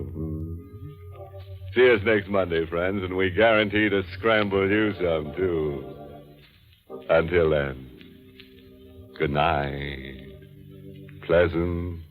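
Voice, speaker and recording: Sardonic, mock-friendly crypt host, slow delivery; muffled old radio recording, faint music. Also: male voice, sits low. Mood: macabre, wry. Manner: macabre, mock-friendly, punning. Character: ghoulish, wry horror-show host who relishes death. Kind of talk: 1940s radio horror hosting. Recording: dull 1940s radio recording, music behind.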